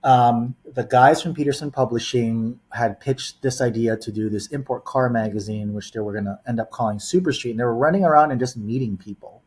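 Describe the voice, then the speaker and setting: A man's voice: medium pitch, fairly quick, few pauses, a little mellow, breathy edge. A man in his forties, raised in Los Angeles, telling stories as a podcast guest with lots of "um" and "you know". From born in the Philippines, raised in Los Angeles. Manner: rambling storyteller, heavy on "you know" and "um". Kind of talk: casual podcast interview.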